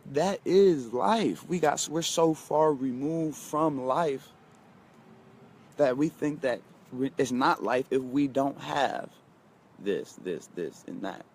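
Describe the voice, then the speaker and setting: Man in his twenties, motivational vlogger, emphatic and repetitive, mid-pitched voice. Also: animated, takes it slowly, long pauses, darkish tone, breathy edge. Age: 20s. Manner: emphatic, repeats lines and talks straight to viewers. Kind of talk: casual motivational monologue.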